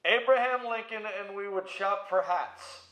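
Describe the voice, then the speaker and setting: A man's voice: high-pitched, a little slow, pushed and bright, slight background noise. A middle-aged American man giving casual, playful interview answers in an anecdotal, storytelling way. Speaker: museum president and CEO, interview guest. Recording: echoey room, slightly dull audio.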